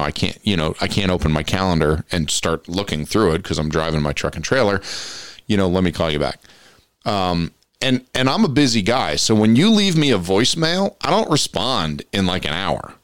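A man's voice: sits low, fairly bright tone, no room sound. A man hosting a podcast in casual chat, a rambling storyteller who chuckles often, his voice congested from allergies. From Western Colorado. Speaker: podcast host.

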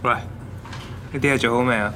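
A man's voice: mid-range voice, fairly even intonation, a little slow, noisy background, natural voice. An actor playing a stern, sarcastic office boss who scolds his staff in a radio drama. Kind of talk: Cantonese radio drama acting.

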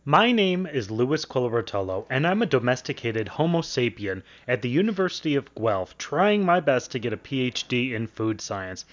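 A man's voice: medium pitch, big pitch swings, moderate pace, few pauses. A young adult man with a mid-range voice hosts a radio interview show. He presents in a conversational way, as a graduate student talking to listeners. The sound is a little dull, with the top end trimmed.

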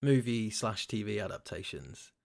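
A man's voice: lowish voice, lively intonation, moderate pace, vocal fry, a little mellow. A British man hosting a podcast in casual chat about games and films. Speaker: podcast host.

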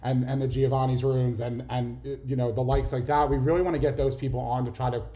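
A man's voice: mid-range voice, little pitch movement, quick pace, warm tone. A man from Philadelphia with a Philadelphia accent co-hosting a podcast, talking earnestly and teasing his co-host. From Philadelphia, USA. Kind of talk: casual banter podcast chat.

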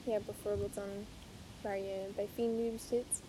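A woman's voice: little pitch movement, unhurried. A woman asking questions as the interviewer in a casual podcast conversation. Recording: faint low rumbling background noise.

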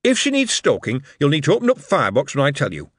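A man's voice: fairly high pitch, wildly swooping pitch, fast talker, dry recording, studio quality, voice pushed up. A man voicing a cheerful, earnest male steam engineer with a Northern English dialect. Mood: eager. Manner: eager, earnest, dialect-heavy.

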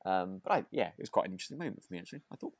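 A man with a low voice talks casually as a podcast co-host. He feels his way through sentences, rambling and restarting as he reviews a drama. The sound is dry, with very little room. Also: big pitch swings, very fast, husky, dry recording. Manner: hesitant, rambling, self-correcting.

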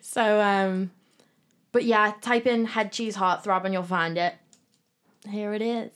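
A woman's voice: medium pitch, fairly even intonation, takes it slowly. A woman co-hosting a podcast, presenting and asking questions in an informal, chatty way. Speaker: podcast co-host. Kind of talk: casual conversational presenting.

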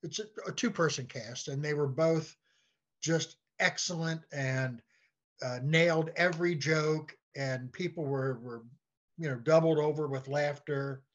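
An American man chatting casually as an interview guest, telling anecdotes in a halting way and often repeating words. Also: a little high. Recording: dull, lo-fi call-like audio.

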